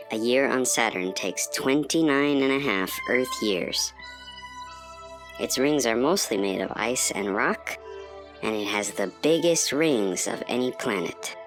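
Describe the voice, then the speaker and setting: A woman voicing a quirky little astronaut student from far away, who guides the listener through a cartoon and keeps breaking into squeaky exclamations. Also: exaggerated voice. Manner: chirpy, with sudden squeaky exclamations. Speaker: guide narrator. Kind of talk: animated educational cartoon. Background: music.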